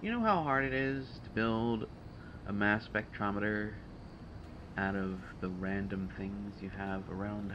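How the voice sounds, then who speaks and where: A man playing a testy, sardonic supervillain genius in an audio roleplay, speaking in a dry, haughty, hesitant way with exasperation. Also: lowish voice. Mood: smug, focused. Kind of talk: intimate audio roleplay monologue to a listener. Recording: dull, noisy home recording.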